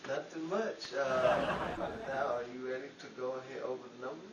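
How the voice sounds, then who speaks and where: A man's voice: medium pitch, fairly even intonation, normal speed, natural voice. A man playing a flighty, dim, cheerful singer in an old-time radio comedy. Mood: dreamy, dim. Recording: dull, echoey, distant mic, loud noise.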